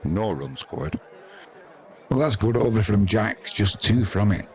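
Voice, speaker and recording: Deep-voiced male cricket commentator. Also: expressive, measured pace, a little mellow, a faint crowd. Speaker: live cricket commentator, stream host. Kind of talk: ball-by-ball sports commentary.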